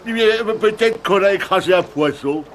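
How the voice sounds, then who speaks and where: An actor voicing a grumbling, scheming ship's mate in a cartoon, with a gravelly voice. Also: male voice, high voice, normal speed, fairly bright tone, a little hiss or hum. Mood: nervous. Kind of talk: French cartoon dub acting.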